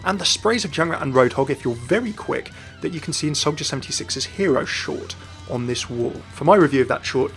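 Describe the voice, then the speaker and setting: A man's voice: medium pitch, a bit bright. A man narrating scripted game lore commentary as a video voice-over.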